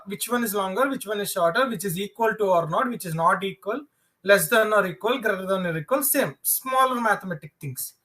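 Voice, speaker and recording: Male coding tutor, South Indian accent, native Telugu speaker, high sharp voice, informal, repetitive live-class delivery. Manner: repetitive, halting explanations that circle back to restate points. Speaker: programming instructor. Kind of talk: informal live online lesson.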